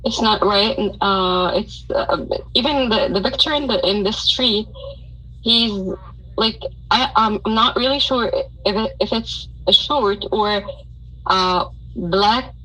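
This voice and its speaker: Woman talking slow and halting, husky, lots of uh's. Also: medium pitch, pushed and bright, low-quality recording. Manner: halting, hesitant, many restarts and fillers. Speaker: caller. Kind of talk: casual chat on a live audio space.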